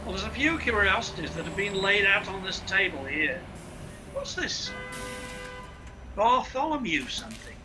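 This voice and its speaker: An actor playing a seasoned, inquisitive senior police investigator in a radio play. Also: male voice, sits high, big pitch swings, normal speed, long pauses, over music, low-quality recording. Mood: grave, curious.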